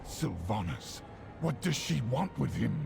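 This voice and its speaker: A man playing a weary, solemn orc warrior chieftain in a fantasy game, speaking in a measured way. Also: mid-range voice, big pitch swings, gravelly, full character voice. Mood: concerned. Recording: game music behind.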